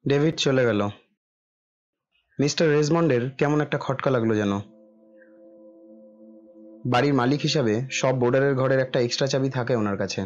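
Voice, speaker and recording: A man's voice: medium pitch, moderate pace, pauses often, lo-fi sound, soft background music. A man narrating a scripted horror story in a dramatic, suspenseful style.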